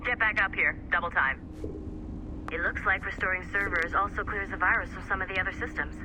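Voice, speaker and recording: A woman's voice: mid-range voice, a little fast, background noise, close to an everyday voice. A woman playing a brusque, sarcastic, impatient young computer technician in a video game, blunt and informal. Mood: dryly teasing. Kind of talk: video game character dialogue.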